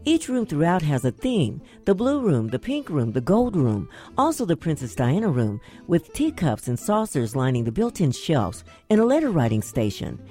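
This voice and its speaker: Mellow-voiced female radio reporter. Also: deep voice, big pitch swings, normal speed, hardly any pauses, soft background music. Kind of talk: scripted news narration.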